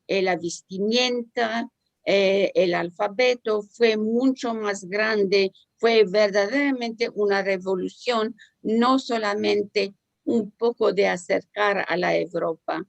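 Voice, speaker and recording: Slow, gravelly woman asking a question. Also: mid-range voice, fairly even intonation, no room sound. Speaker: audience member asking a question. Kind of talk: online discussion. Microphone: video call.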